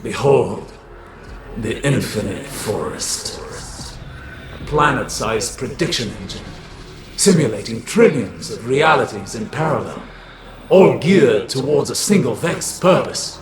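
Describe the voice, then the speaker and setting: A man playing a solemn mystic warlock in a video game, speaking in grave, portentous, measured pronouncements with an echo over music. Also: medium pitch, expressive, a loud crowd, close to an everyday voice. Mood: grave, grand. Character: solemn male mystic warlock, a copy of an exiled prophet. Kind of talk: dramatic video game cutscene acting. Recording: echoing voice under music.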